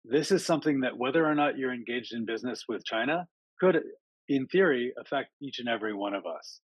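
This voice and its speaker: A man's voice: mid-range voice, animated, a little fast, slightly rough, no room sound, dull audio. A man giving a casual talk to an online audience over a video call, friendly and chatty, with frequent ums and uhs. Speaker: speaker at an online town hall.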